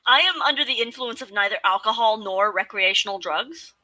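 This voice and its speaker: Podcast co-host: young woman, childlike high voice, very bright and bouncy, giggles often. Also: animated, no room sound. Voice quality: youthful, childlike voice. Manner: giggly, quick to burst out laughing. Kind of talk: casual chat.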